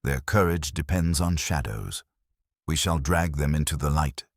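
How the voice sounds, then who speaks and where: Man voicing a very deep, imperious older detective. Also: unhurried, no room sound, clean studio sound, full character voice. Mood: grave, commanding. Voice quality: heavy, weighty. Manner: formal, flat, commanding pronouncements. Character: stern, calculating older male detective genius, imperious and unhurried.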